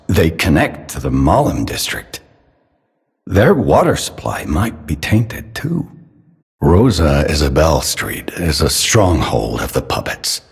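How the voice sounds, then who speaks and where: An actor playing a grave, suspicious elderly father in a video game, husky and measured, speaking solemnly with paternal concern. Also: extremely low pitch, heavily acted. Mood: somber, concerned. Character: grave, suspicious elderly father, doting yet quietly manipulative. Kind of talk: scripted video game character dialogue. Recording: long cavernous reverb.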